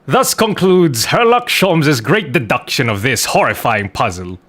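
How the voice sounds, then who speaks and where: A man's voice: mid-range voice, sing-song, moderate pace, a bit bright. A man voicing a pompous, theatrical, eccentric detective from a video game, declaiming grand deductions with dramatic flourishes. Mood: triumphant. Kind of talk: theatrical read-aloud of video game dialogue.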